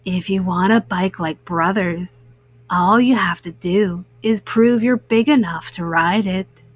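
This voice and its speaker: A woman's voice: on the low side, a little slow, voice pushed down. A woman voicing a sly, tempting green-eyed girl monster in a children's storybook, coaxing as she talks.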